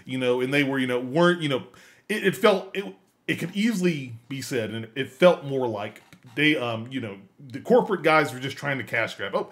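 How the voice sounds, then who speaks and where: A man's voice: mid-range voice, animated, moderate pace, fairly bright tone. A man co-hosting a podcast in casual discussion, emphatic, often repeating and rephrasing his points to drive them home. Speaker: podcast co-host. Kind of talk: casual discussion of films and games.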